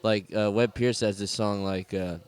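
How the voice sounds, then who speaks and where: A young man from the American South speaks in a low, easygoing voice with a clear Southern accent. He rambles loosely, piling up fillers and casual swearing, as a musician chatting in an interview. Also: steady tone, moderate pace. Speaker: musician guest.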